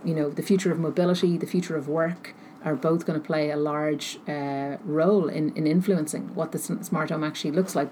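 A woman answering interview questions thoughtfully, pausing often as she searches for her words. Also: low-pitched, mellow. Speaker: interview guest, technology researcher. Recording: noticeable background noise.

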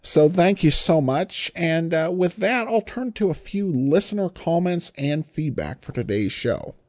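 A man hosting a solo podcast, giving informal commentary in a self-deprecating, hesitant way with frequent pauses. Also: on the high side, animated, a little mellow, no echo, studio quality. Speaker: podcast host.

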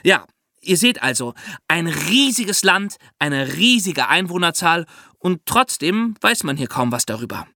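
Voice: medium pitch, big pitch swings, a little slow, pushed and bright, no room sound, studio quality. A podcast guest explaining things to young listeners with vivid comparisons and questions. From China.

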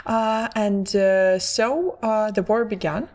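Interview guest: woman, twenties, from Ukraine, halting and slow, slight vocal fry. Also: medium pitch, darkish tone. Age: 20s. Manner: slow, halting, many pauses and fillers. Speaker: guest, student activist. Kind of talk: interview answers.

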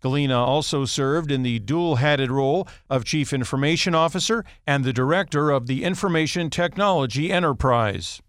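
A man's voice: mid-range voice, clear, resonant tone, no room sound, studio quality. A man with an American accent reading scripted news as an anchor.

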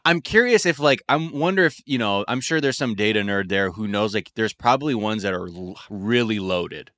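A man in his thirties co-hosting a podcast, riffing in a profane, animated way. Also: on the low side, normal speed, no echo, clean studio sound. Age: 30s. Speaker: podcast co-host. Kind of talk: casual comedic chat.

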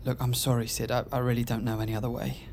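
A man's voice: mid-range voice, little pitch movement, fast, a little mellow, slight background noise, close to an everyday voice. An actor voices a down-on-his-luck gambler, a man pleading in an audio drama. He sounds anxious and desperate, over faint background ambience.

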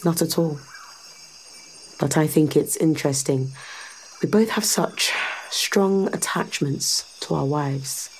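A woman's voice: low-pitched, slow, a little hiss or hum, rough recording. A woman playing a poised, guarded corporate executive in an audio drama, speaking formally and with control. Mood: cool, dry. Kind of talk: scripted audio drama acting.

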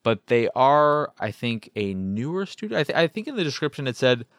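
A man's voice: medium pitch, very animated, a little fast, fairly bright tone, no echo, studio quality. A man hosting a podcast, talking casually on his own as he reviews games, rambling and circling back to rephrase his points. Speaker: podcast host. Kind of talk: casual solo video game review talk.

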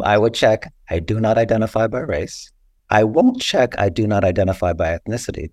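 A man speaking as an interview guest, explaining his points in a deliberate, teacherly way, often checking with a tag question. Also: lowish voice, a little mellow, no echo, studio quality. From Costa Rica. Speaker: interview guest, social worker and writer.

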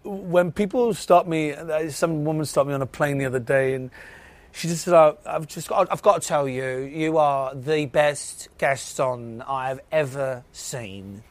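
Welsh man, lively, laughing actor. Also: a little high, dry recording. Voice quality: big tenor voice. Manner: animated, laughs often, halting restarts. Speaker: actor guest. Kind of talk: podcast interview chat.

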